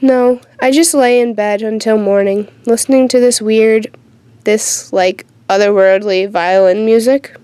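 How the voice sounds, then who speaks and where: A woman's voice: fairly high pitch, steady tone, measured pace, warm tone, clean tone, no room sound, lightly acted. A woman playing a teenage girl patient in a radio drama, confiding her eerie nightmares in a colloquial, youthful way. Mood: shaken. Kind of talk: first-person radio drama narration and dialogue.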